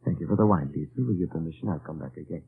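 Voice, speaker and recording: An actor playing a suspicious, oily German wartime commandant in an old-time radio drama, falsely courteous and insinuating. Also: male voice, very deep voice, racing, vocal fry, husky, heavily acted. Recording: muffled, tinny old radio recording.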